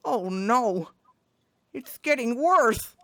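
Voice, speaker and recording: A frantic, earnest male duck from a comic, acted by a woman. The voice is on the high side and flustered, with big swings in pitch as it pleads and frets. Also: animated, very slow, exaggerated voice. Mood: panicked. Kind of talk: live comic dub reading.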